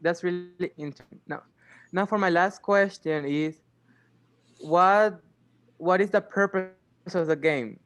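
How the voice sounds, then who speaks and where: A teenage student interviews a guest for a school project, reading out prepared questions. He often stops and restarts as he goes. His voice is smooth, clean and somewhat high. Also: a bit bright. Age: teens. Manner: hesitant, halting.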